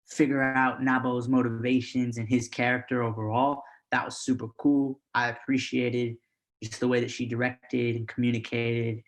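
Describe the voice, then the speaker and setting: A man of average pitch speaks as an actor in an interview. His intonation stays flat and even, and his manner is measured and appreciative. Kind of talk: interview testimonial.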